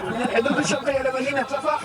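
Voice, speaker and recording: Voice-changed greeting, chipmunk effect, very high and quick, played from a device. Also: steady tone, faint music behind, some chatter behind. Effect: pitched-up chipmunk voice-changer. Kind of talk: on-camera holiday greeting in a played video.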